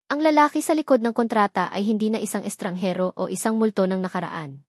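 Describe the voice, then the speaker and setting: A woman narrates a romance story in a measured, dramatic manner, with a voice of middling pitch. The recording is close and dry, without any room echo.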